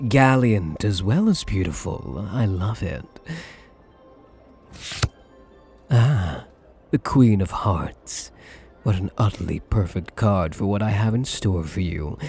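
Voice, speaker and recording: A man playing a smug, possessive wealthy gambler in an intimate ASMR roleplay, suave and teasing with a breathy, husky voice. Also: fairly low pitch, lively intonation, slow, darkish tone, exaggerated voice. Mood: suave, playful. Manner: suave, teasing, theatrical endearments. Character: possessive, smug, wealthy male gambler doting on captive lover.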